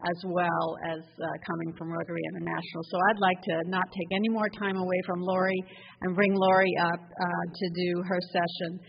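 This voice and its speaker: Woman, event host, flat delivery, halting with fillers, long hall reverb, dull sound. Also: lowish voice, gravelly, slight background noise. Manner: halting, emotional, filler-heavy. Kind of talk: introducing the next speaker. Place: conference hall.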